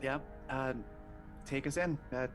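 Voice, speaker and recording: A man's voice: medium pitch, steady tone, measured pace, music in the background. A man playing a tabletop roleplaying game, moving between casual narration and in-character talk in a hesitant, thinking-aloud way.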